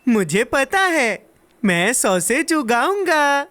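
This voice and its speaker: A woman voicing an eager, excitable young boy who loves food, with exaggerated expression, in a children's cartoon dub. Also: high voice, slow, slight background noise, heavily acted. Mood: proud, excited.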